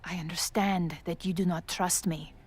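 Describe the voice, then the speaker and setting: A woman acting a dignified, guilt-ridden figure of authority in a video game, speaking in formal, measured, articulate phrases. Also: fairly low pitch, fairly even intonation, slightly rough, no echo, soft background music. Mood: resigned, grave.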